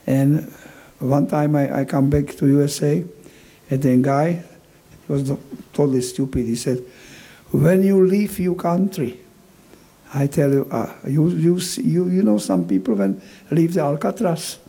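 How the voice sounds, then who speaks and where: An 88-year-old man with a Czech accent, talking casually in halting, broken English and repeating words as a musician being interviewed. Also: on the high side, mellow, slightly rough, rough recording.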